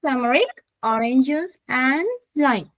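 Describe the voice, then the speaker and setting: A woman's voice: high-pitched, lively intonation, takes it slowly, dry recording, studio quality. A woman with a Sri Lankan accent, a teacher chatting as co-host of an informal English lesson.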